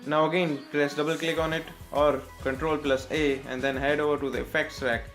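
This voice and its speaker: A man with an Indian accent narrating a step-by-step software tutorial as its host, in a scripted style. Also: fairly high pitch, flat delivery, brisk, soft background music.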